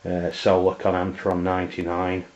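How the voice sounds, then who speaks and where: A man with an English accent and a very low voice talks through a hands-on review. His delivery is flat and unscripted, with plain wording and frequent hesitations. The sound is dull and lo-fi, as from a handheld camera's microphone. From England. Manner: hesitant, halting, plain-spoken. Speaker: amateur radio reviewer. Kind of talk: unscripted product review demonstration.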